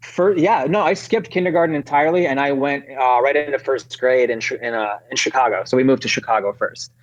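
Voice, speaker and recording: Halting man in his thirties, lo-fi. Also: mid-range voice. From Ukraine. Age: 30s. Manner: rambling, halting, many fillers. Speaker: guest. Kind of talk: casual podcast chat. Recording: dull lo-fi audio.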